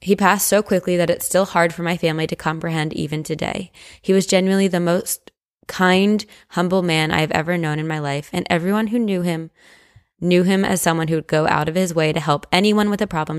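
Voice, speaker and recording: A woman co-hosting a podcast, chatting casually and reading stories in an expressive, reassuring, conversational way. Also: fairly low pitch, fairly quick. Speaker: podcast co-host. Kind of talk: casual paranormal podcast chat and story reading.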